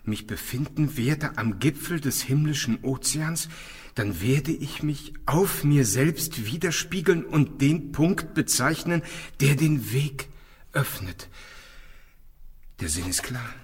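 Husky, brooding archaeologist in cavernous reverb. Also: male voice, mid-range voice, lively intonation, takes it slowly, heavily acted. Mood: solemn musing. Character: brooding archaeologist puzzling over an ancient riddle. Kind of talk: radio play acting.

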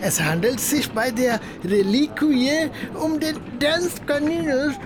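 Radio drama: polite, scholarly Tamil gentleman, precise delivery, noisy ambience behind. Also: extremely high pitch, slow pace. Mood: courteous, precise. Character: courteous, learned Tamil gentleman traveling by train. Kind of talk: radio play acting.

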